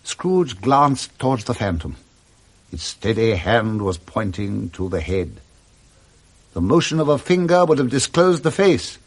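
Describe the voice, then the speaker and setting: A man with a British accent narrating a Victorian ghost story in a deliberate, theatrical radio drama style. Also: medium pitch, big pitch swings, slightly rough, heavily acted. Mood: grave, suspenseful.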